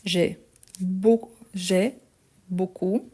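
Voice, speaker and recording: A woman giving a live French lesson, her slow, measured delivery full of "donc" and repeated words as she corrects a text. She records on a phone held in her hand, in a middle-pitched voice. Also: fairly even intonation, dark tone, slightly rough. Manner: slow, patient explaining with many "donc" and repetitions. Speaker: French teacher.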